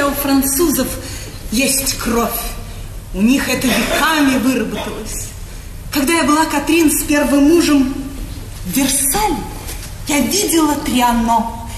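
Gushy snobby princess lady, super high voice, affected stage comedy acting, echoey theatre. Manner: affected, effusive, peppered with French endearments. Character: gushing, vain aristocratic lady, a princess in 1800. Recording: echoey theatre hall, noisy 1980s recording.

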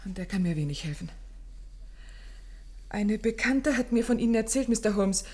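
A woman's voice: medium pitch, lively intonation, moderate pace, a little mellow, natural voice. An actress playing a distressed, genteel young woman in a radio play, speaking formally and dramatically. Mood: desperate. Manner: formal, dramatic, pleading phrasing.

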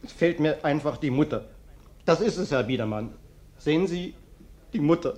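Man playing a sly rough drifter in a radio play, laying on the poor-me act, kinda high voice. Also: lively intonation, moderate pace, a little mellow, lightly acted. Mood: plaintive, wounded. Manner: plaintive, falsely humble, insistent. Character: sly, uncouth vagrant man playing humble, self-pitying houseguest. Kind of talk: German radio play acting.

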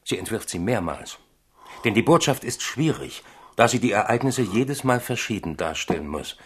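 A man's voice: fairly low pitch, very animated, normal speed, husky. An actor in a German radio play playing a loyal, talkative manservant who speaks deferentially to his king. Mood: deferential, confiding. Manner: wordy, deferential, storytelling.

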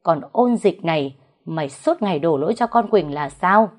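A woman's voice: fairly low pitch, lively intonation, normal speed. A woman voicing an older rural matriarch who scolds and gives stern orders in a story narration. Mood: furious. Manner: scolding, commanding.

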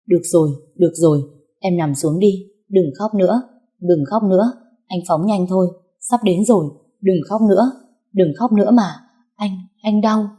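Grieving young policeman, read by a woman: gentle, slow, pleading, low and warm. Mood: soothing.

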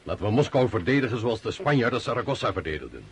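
A rousing russian officer, lowish voice, very lively and emphatic, radio play with slight hiss. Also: male voice, fast talker. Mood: defiant, rousing. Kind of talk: Dutch radio drama acting.

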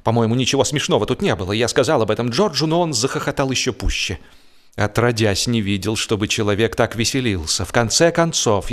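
A man narrating a humorous story as an audiobook reader, in an expressive, theatrical storytelling manner. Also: mid-range voice.

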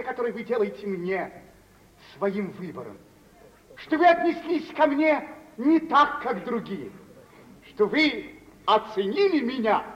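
Shrill, excitable, resentful suitor. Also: male voice, dull audio, a little hiss or hum, full character voice. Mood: wounded, pompous. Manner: declamatory, sarcastic, excitable. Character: touchy, vain, resentful suitor, bitterly sarcastic. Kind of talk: 1950s Russian theatrical radio drama.

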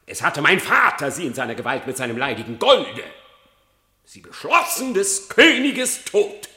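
A sly, lying fox in a classic verse radio drama. His delivery is highly animated and theatrical, with a bright, tense edge, lurching between hushed confession and outcry in feigned remorse. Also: moderate pace. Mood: scheming, dramatic. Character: cunning lying male fox pleading before his execution.

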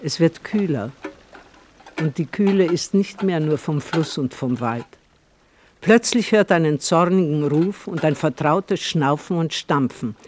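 Higher-pitched male radio play narrator. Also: a little mellow, slight background noise.

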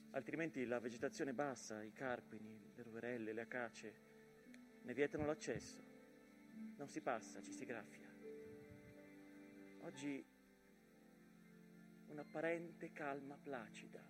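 An actor playing the mountain Hermada, a nostalgic, wounded witness to war, in a lyrical theatrical monologue with emphatic repetitions. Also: male voice, medium pitch, echoey room, long reverb tail, close to an everyday voice. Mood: subdued, melancholy.

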